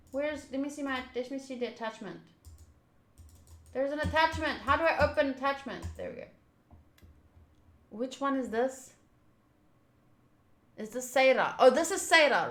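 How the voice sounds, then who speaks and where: A woman's voice: high-pitched, lively intonation, unhurried, pauses often, a bit bright, reverberant. A woman streaming a visual novel, reading the text aloud and breaking into chatty reactions as she goes. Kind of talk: playthrough commentary, reading on-screen text aloud.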